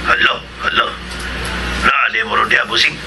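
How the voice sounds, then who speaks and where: A man with a Cebuano accent voicing a jittery, gossipy sidekick with a creaky voice in a radio drama. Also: normal speed, lightly acted, low-quality recording. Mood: uneasy, secretive. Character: jittery, gossipy male sidekick bringing word to his boss.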